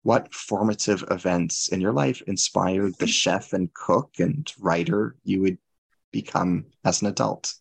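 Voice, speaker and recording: A man hosting an interview podcast in a formal, deliberate, scripted and very courteous manner. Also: low voice, gravelly, breathy edge, no room sound. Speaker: podcast host.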